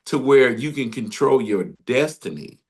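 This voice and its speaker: A man hosts a radio interview, talking in an enthusiastic, meandering way and laughing often. His voice sits at an ordinary middle pitch, and he chats casually with his guest. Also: animated, moderate pace, husky. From Detroit, Michigan.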